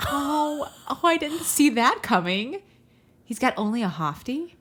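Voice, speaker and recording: A woman's voice: high-pitched, very animated, measured pace, fairly bright tone, slightly rough. A woman co-hosting a podcast in casual comedic banter, with giggly, teasing interjections. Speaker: podcast co-host.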